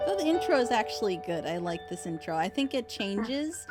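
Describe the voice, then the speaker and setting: A woman talks casually as host of a group reaction. Her voice sits at a middle pitch and moves up and down a great deal. Music plays clearly behind her. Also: big pitch swings, brisk. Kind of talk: casual chat, reaction commentary.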